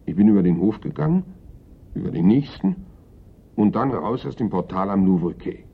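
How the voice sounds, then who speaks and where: A simple, nonchalant workman in a radio drama, answering evasively and colloquially. His voice is low and husky with lively swings in pitch. The sound is thin and band-limited, like an old broadcast. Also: normal speed, exaggerated voice. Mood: offhand. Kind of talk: radio play acting. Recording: muffled, narrow-band old radio recording.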